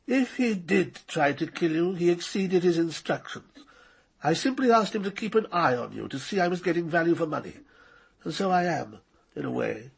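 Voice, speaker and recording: An actor playing an arrogant, cold-blooded older knight in a radio drama, precise and condescending. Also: male voice, fairly high pitch, expressive, moderate pace, gravelly, slightly rough, heavily acted. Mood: cold, menacing. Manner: condescending, precise, coolly menacing.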